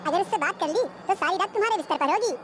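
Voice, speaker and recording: A woman plays a teasing young village man in an animated horror tale. He gossips emphatically in an extremely high voice, with music clearly underneath. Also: fast. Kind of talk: animated horror story acting.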